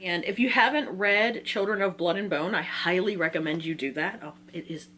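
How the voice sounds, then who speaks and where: A woman's voice: fairly low pitch, fairly quick, a bit bright. Casual, chatty woman with hum behind her. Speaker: book reviewer, vlogger. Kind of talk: casual chatty reading-list vlog.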